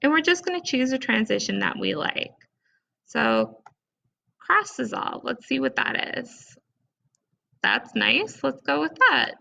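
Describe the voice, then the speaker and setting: A woman presenting a casual step-by-step software tutorial in an informal, conversational way, recorded with dull, lo-fi sound. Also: high-pitched, big pitch swings, a little slow, creaky.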